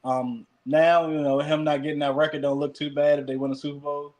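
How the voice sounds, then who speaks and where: A man co-hosting a sports podcast, talking casually in a wry, self-mocking way with halting restarts. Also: on the high side, level tone, a little mellow.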